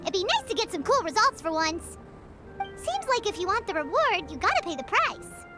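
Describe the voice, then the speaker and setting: A woman voicing a tiny, childlike female fairy companion in a video game, pouty, excitable and blunt, with a squeaky voice. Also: normal speed. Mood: grumbling, bored. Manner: refers to herself in third person, complaining. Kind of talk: English video game character dialogue. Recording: music behind the voice.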